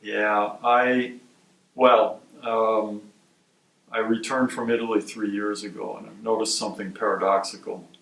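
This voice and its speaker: A man from Wisconsin who directs a research institute, giving measured, explanatory interview answers. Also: on the low side, little pitch movement, creaky, a bit bright. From Wisconsin, USA. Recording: roomy sound from a distant mic.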